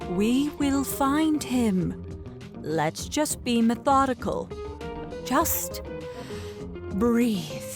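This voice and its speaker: Woman as a regal, motherly, higher-pitched mare princess. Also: big pitch swings, full character voice. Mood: firm, soothing. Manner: measured, soothing authority. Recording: faint music behind the voice.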